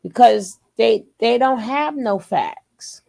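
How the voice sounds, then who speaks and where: Woman host, sassy reactor, high and animated. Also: slow pace, creaky. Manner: sassy, laughing asides. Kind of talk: reaction commentary.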